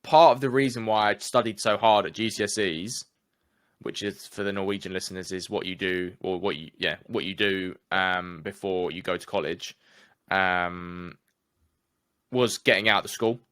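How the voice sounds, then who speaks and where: Chatty young English man, smooth voice. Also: sits low, fairly even intonation, moderate pace, fairly bright tone, no echo, studio quality. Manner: candid, chatty, slangy. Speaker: guest. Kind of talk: casual conversation.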